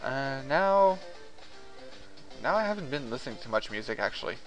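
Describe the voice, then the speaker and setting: A man's voice: medium pitch, sing-song, moderate pace, soft background music, rough recording. A man whose first language is French, chatting casually in a rambling, hesitant way with lots of fillers while he plays a game. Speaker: gaming vlogger. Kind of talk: casual commentary over gameplay.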